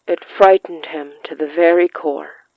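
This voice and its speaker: A narrator telling a scripted literary horror story in a measured way, with dramatic pauses between short phrases. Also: medium pitch, fairly even intonation, gravelly, no room sound, narrow, tinny audio. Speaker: narrator of a horror fiction podcast.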